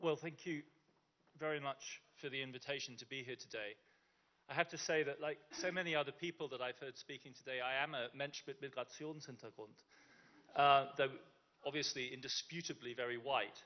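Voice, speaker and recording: A man's voice: mid-range voice, brisk. A man giving a conference talk in a chatty, self-deprecating way, with frequent restarts. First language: English. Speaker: conference speaker. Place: conference in Germany. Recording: dull lo-fi sound.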